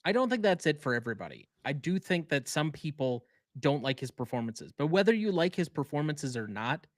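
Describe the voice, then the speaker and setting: A man's voice: fairly high pitch, big pitch swings, brisk, dry recording, studio quality. A man co-hosting a podcast in casual chat, giving opinions in an emphatic way. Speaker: podcast co-host.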